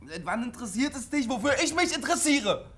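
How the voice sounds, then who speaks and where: Lonely twenties cb radio dreamer dude, boastful, defiant, pushy, radio play. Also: male voice, extremely high pitch, sing-song, quick pace, a bit bright, a little hiss or hum, heavily acted. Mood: angry, agitated. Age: 20s. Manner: boastful, insistent. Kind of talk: radio drama acting.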